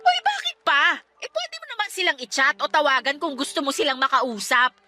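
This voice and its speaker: A woman playing a defiant, sharp-tongued estranged wife and mother in a radio drama, sarcastic and combative. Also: high-pitched, wildly swooping pitch, fairly quick, faint music behind, exaggerated voice. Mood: irritated, dismissive. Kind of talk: Tagalog radio drama acting.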